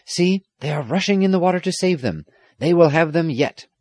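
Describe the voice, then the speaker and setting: A hearty old country squire from a storybook, performed by a man, doting and excitable. He speaks in a raised, very animated voice with a dry, close sound. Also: fairly high pitch, moderate pace, dry recording, voice pushed up. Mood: reassuring. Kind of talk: audiobook character acting.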